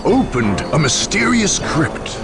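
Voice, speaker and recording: A man delivering scripted dramatic narration for a war game's story, in a grave, portentous, measured storytelling manner. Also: fairly high pitch, sing-song. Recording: dramatic music behind the voice. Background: music.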